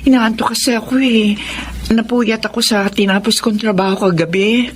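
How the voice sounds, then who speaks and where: An actress playing a gentle, long-suffering wife and older sister in a Tagalog radio drama, pleading and emotional. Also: female voice, a little high, steady tone, normal speed, slight background noise, lightly acted. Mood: timid, weary. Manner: soft, hesitant, pleading.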